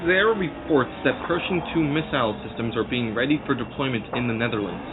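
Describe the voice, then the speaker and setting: A man's voice: mid-range voice, fairly quick, noisy background, lightly acted. A man playing a radio news anchor questioning a field correspondent in a live-news drama. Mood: businesslike. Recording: tinny, phone-like radio sound.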